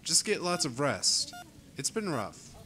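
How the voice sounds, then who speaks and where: Man acting a casual, lively, bright-voiced friend. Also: a little high, slow, exaggerated voice. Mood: easygoing. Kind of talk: amateur radio play acting.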